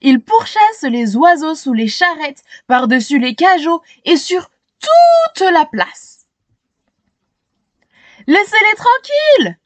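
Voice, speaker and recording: High, slow, lively woman storyteller. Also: no room sound. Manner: animated, dramatic, addresses young listeners. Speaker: storyteller reading a children's book aloud. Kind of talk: expressive scripted storybook narration.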